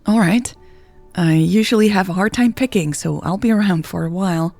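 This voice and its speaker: Lady doing a chatty eager flirty woman customer in a love story, husky, bit creaky. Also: lowish voice, moderate pace, darkish tone, soft background music, pitched above the performer's own voice. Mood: friendly. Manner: chatty, enthusiastic.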